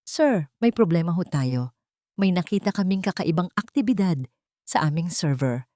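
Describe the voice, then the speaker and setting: A woman's voice: lowish voice, expressive, moderate pace, mellow, no echo, clean studio sound, lightly acted. A woman voicing an anxious, deferential secretary in a narrated drama. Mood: nervous. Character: nervous secretary reporting trouble over intercom.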